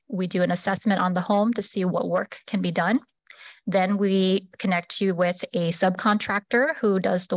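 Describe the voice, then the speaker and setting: A woman presenting a public assistance program at a public meeting, pausing often with fillers and restarts. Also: lowish voice, no echo, rough recording. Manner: halting, many fillers and restarts.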